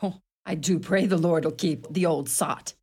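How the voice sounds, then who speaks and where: A woman's voice: deep voice, unhurried, darkish tone, breathy edge. A woman playing a blunt, sardonic Irish widow in her sixties in a radio play, with an Irish accent. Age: 60s.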